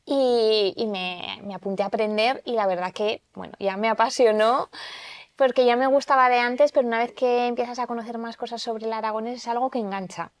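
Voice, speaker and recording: A woman's voice: a little high, steady tone, brisk. A woman in her late thirties from Zaragoza, Spain, a podcast guest explaining her interest in her region's language in an earnest, chatty way. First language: Spanish. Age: 30s. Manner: earnest, explanatory, frequent "pues" fillers. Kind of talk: casual interview chat.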